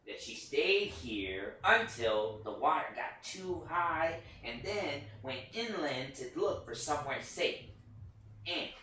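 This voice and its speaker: An actor playing an anxious, tender puppet hero with a stammering, earnest delivery in a staged play reading. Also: male voice, a little high, lively intonation, slow, sharp tone, full character voice. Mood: hesitant, earnest. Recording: echoey room, distant mic, faint noise.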